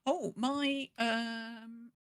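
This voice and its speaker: A woman's voice: a little high, fairly even intonation, very slow, no room sound, studio quality. A woman co-hosting a podcast, casually chatting and reading out updates. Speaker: podcast co-host.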